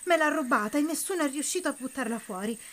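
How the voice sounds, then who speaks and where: A woman's voice: sits high, expressive, a little hiss or hum. A woman voicing an anxious little male animal in a children's story, pleading for help. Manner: pleading, quick when worried. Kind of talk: children's audio story acting.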